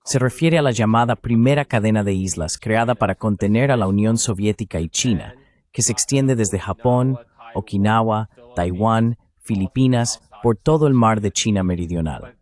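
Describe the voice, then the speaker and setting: A man's voice: on the low side, normal speed, darkish tone. A man giving geopolitical commentary as a guest, in a dubbed voice-over.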